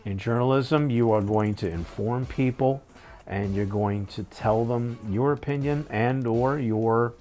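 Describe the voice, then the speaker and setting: A middle-aged man speaks in a thoughtful interview style with an average-pitched voice. He is measured and halting, rephrasing as he goes, with faint music underneath. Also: mellow. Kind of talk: thoughtful documentary interview answer.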